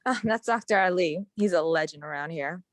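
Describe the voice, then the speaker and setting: A woman's voice: lowish voice, brisk, dry recording, lightly acted. A woman acting a blunt, teasing young emergency medical technician in a screenplay table read. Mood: friendly.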